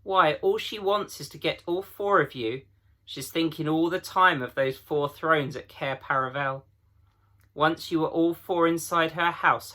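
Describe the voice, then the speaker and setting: A man's voice: on the high side, bright, forceful tone. A man voicing a practical, anxious female beaver in a storybook reading, reasoning carefully and with emphasis.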